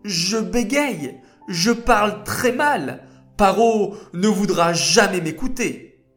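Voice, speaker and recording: A man's voice: fairly high pitch, lively intonation, unhurried, faint music behind. A man voicing a humble young shepherd who says he stammers, telling a children's story. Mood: pleading.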